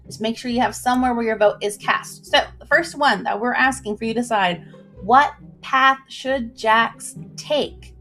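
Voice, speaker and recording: Woman, livestream storyteller, slightly high pitch, expressive, informal delivery, soft background music. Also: normal speed. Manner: chatty, informal, addresses listeners directly. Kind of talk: expressive story reading and casual livestream chat.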